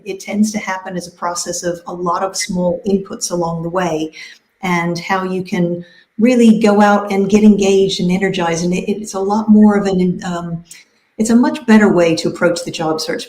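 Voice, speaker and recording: A woman's voice: lowish voice, warm tone. A woman career coach talking enthusiastically and conversationally on a live video panel. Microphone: video call. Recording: echoey room.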